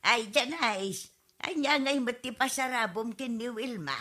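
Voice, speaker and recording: A woman's voice: a little high, unhurried, pushed and bright. A woman with an Ilocano accent playing a nagging, complaining wife and auntie in a whiny, scolding comedy radio drama style.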